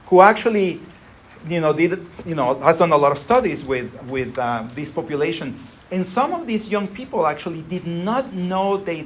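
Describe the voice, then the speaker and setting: A man lecturing to a class in an easy, conversational style, often checking that his listeners follow. His voice sits a little high. A faint hiss of background noise runs under the recording. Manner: conversational, frequent tag "right?". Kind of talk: university lecture.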